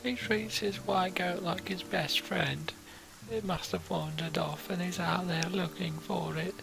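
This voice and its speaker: A man's voice: high-pitched, steady tone, unhurried, vocal fry, pitched above the performer's own voice, heavily acted, rough recording. A man voicing an anguished father searching for his only son in a game story. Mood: distraught.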